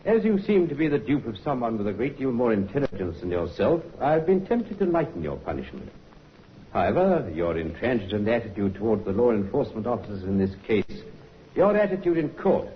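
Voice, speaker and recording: An actor playing a stern, formal judge passing sentence in a 1950s radio drama, speaking in solemn, deliberate pronouncements. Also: male voice, mid-range voice, sing-song, lightly acted. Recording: dull vintage radio broadcast with faint hiss.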